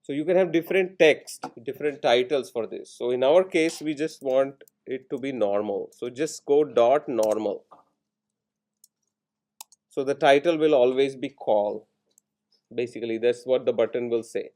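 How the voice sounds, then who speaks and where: A man lecturing in programming, explaining each step in short instructional phrases with pauses between them. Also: mid-range voice, darkish tone. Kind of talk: step-by-step classroom coding tutorial.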